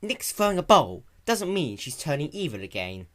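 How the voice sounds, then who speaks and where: A man voicing a prim, ladylike female pony who lectures gently, in a dramatic story reading. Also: a little high, big pitch swings, normal speed, pitched above the performer's own voice. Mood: lecturing.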